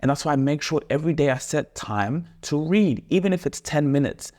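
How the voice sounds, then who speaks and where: A man's voice: a little high, animated, a little fast, a little mellow. A young man co-hosting a podcast, talking with enthusiasm and fluency while stacking his thoughts with fillers like "like" and "you know.". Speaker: podcast co-host, son. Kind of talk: casual motivational chat.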